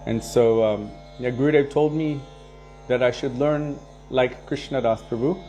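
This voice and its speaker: A man leading a devotional program, casually answering viewer questions and sharing anecdotes in a relaxed way, chuckling now and then. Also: medium pitch, measured pace, faint music behind. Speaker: kirtan leader and devotional teacher.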